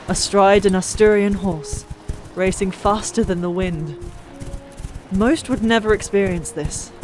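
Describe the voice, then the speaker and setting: A woman narrating a fantasy audiobook in a dramatic, theatrical storytelling style. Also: mid-range voice. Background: music.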